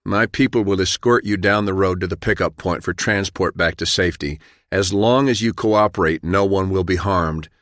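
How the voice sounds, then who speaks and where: Stern colonel, acted by a male reader: deep, forceful, used to giving orders, tired but decent, audiobook war story. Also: normal speed, no echo, clean studio sound. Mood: commanding, stern. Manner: commanding, clipped, forceful. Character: stern, battle-weary male ex-army colonel, commanding but principled.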